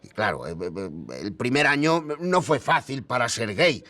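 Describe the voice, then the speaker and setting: Literal-minded Spanish expert, lively intonation, halting and measured, audio documentary, dry close recording. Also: male voice, medium pitch, no room sound. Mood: cautious, factual. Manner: hesitant, measured, stammering repeats. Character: cautious, literal-minded male historian and technology expert.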